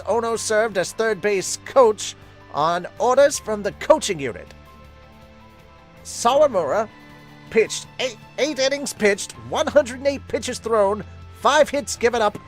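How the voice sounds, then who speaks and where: Man putting on a high, emphatic sports announcer. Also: heavily acted. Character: formal sports game announcer reading out statistics. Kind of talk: announcer-style scripted recitation.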